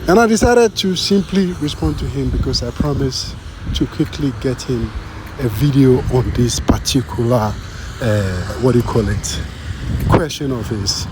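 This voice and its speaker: A man's voice: mid-range voice, a little slow, noisy background. A man from Ghana talking casually to his channel's viewers, full of fillers, often checking with "you understand?". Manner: animated, repeats "you understand", many fillers. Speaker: vlogger. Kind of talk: casual talk to camera.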